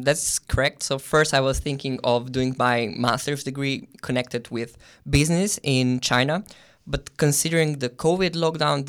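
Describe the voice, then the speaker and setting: A young man from Slovenia, a guest on an interview show, answering in a thoughtful way with many pauses and restarts. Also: medium pitch, a bit bright, clear, resonant tone. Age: young adult. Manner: thoughtful, with frequent ums and restarts. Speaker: guest, exchange student.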